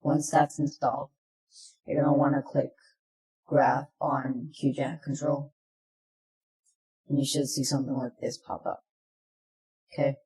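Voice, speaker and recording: A presenter narrating a software tutorial in plain, step-by-step instructions, recorded in an echoey room. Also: medium pitch, flat delivery, measured pace, long pauses.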